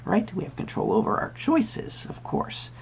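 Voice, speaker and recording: A performer playing a whimsical magical tarot reader, musing hesitantly in a soft-spoken roleplay with a creaky voice. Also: a little hiss or hum, natural voice. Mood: musing, gentle. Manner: hesitant, musing, pausing with hums.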